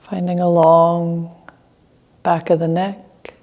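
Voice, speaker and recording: A woman teaching a guided meditation, speaking gently in short phrases with long pauses between them. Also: lowish voice, flat delivery, dull audio.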